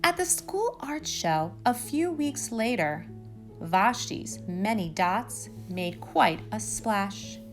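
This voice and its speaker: A woman reading a children's storybook aloud with expressive, deliberate phrasing. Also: mid-range voice, faint music behind. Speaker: storybook reader.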